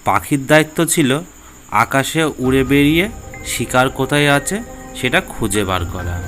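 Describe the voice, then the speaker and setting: A man with a mid-pitched voice tells a children's animal fable. He reads as a storyteller in short, measured phrases, with faint music underneath. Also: expressive. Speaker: storyteller, narrator. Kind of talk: scripted children's fable narration.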